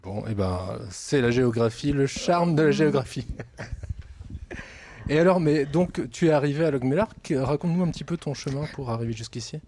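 A man interviewing a guest in a casual talk show recorded in a village bar, asking questions in a relaxed, friendly way. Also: medium pitch, a little fast, slight background noise.

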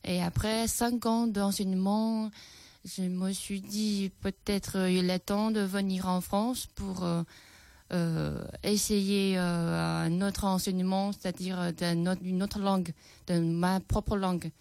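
A woman's voice: mid-range voice, level tone, slow, creaky, darkish tone, no echo. A Chinese-born woman teacher speaking French with a Chinese accent, hesitant and full of fillers, answering radio interview questions. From China.

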